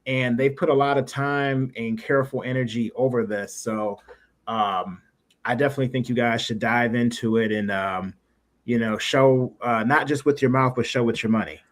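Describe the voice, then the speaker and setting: A man with a mid-range voice hosts a livestream in a casual, chatty style. He rambles along encouragingly, often pausing to search for the right word. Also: mid-range voice, fairly even intonation. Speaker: livestream show host. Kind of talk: casual livestream interview chat.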